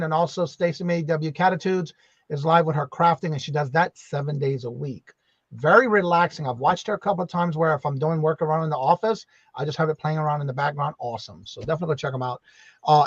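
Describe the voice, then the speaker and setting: Man hosting a live stream, fairly high voice, chatty, muffled dry sound. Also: no room sound. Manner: chatty, many fillers, southern y'all. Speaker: host. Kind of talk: casual live-stream chat.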